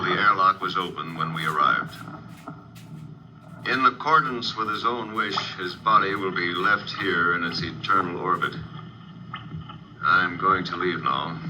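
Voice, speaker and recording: An actor playing a solemn general with measured military delivery, speaking by radio from a spacecraft in a 1950s drama. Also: male voice, deep voice. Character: solemn male general reporting from a rescue rocket. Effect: radio transmission from a pressure suit. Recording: muffled, noisy vintage radio transmission.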